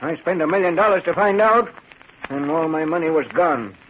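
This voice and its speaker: A male actor plays a grieving old man coldly set on revenge, his voice pitched high for a man. He speaks gravely and deliberately, as in a 1940s radio play, on a dull recording with faint noise. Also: expressive, lightly acted. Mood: coldly determined. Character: grieving, vengeful old man, once wealthy, coldly determined. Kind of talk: 1940s radio drama acting.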